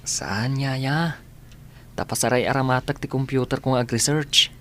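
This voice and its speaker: Sickly young student, earnest, dutiful, reassuring, radio drama, slight background noise. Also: male voice, medium pitch, fairly even intonation, a little slow, bright, forceful tone. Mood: reassuring, grateful.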